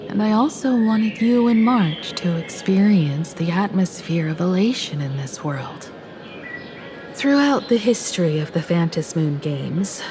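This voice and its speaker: An actress playing a composed, caring and analytical young woman in a video game, speaking in a measured, earnest, articulate way. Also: on the low side. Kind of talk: video game voice acting, expository dialogue. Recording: studio voice over game music.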